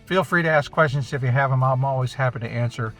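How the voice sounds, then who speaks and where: A man's voice: mid-range voice, fast talker, a bit bright, faint music behind. A man giving a casual, unscripted recap as an amateur radio vlogger, chatty and informal, thinking aloud as he goes.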